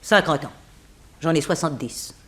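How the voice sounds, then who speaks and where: An actress playing a composed, proper housekeeper of seventy in a French radio drama, matter-of-fact and formal. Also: female voice, low-pitched, animated, normal speed. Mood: composed, informative. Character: composed seventy-year-old female housekeeper, frank and proper.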